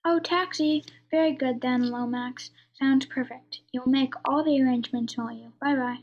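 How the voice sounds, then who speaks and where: Woman playing a high, husky haughty lady. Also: fairly even intonation, moderate pace, muffled recording. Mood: breezy, dismissive. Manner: haughty, dry, brusque. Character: haughty, impatient older aristocratic lady, drily blunt. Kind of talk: radio play mystery acting.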